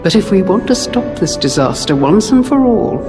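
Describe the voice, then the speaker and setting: A woman playing a dignified, protective older hotel guardian in a video game, speaking in a measured, solemn, genteel way. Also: medium pitch, very animated, natural voice. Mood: tender, wistful. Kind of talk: scripted game cutscene dialogue. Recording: long reverb under music.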